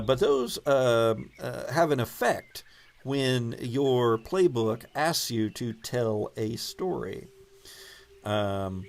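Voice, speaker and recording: Slow-paced man running a tabletop game. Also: lowish voice. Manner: halting, thinking aloud. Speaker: game master and host. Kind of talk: improvised tabletop game narration.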